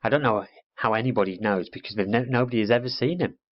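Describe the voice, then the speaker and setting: A man voicing a proud, bossy little girl who lectures in a high storybook voice, on a lo-fi recording. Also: fast, close to an everyday voice. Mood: explaining.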